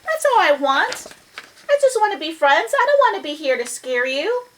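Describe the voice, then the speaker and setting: Woman acting out a small friendly mouse, storybook, high-pitched, polite, peeping, timid but brave. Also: sing-song, normal speed, a little hiss or hum. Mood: friendly, eager.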